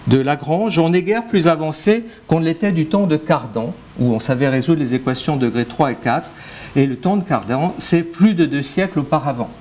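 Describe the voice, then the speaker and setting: A man's voice: on the high side, a little mellow. A middle-aged French man with a French accent giving a mathematics lecture at a conference, speaking into a handheld microphone with frequent fillers and restarts. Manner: hesitant, many fillers and restarts. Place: lecture hall with projector screen.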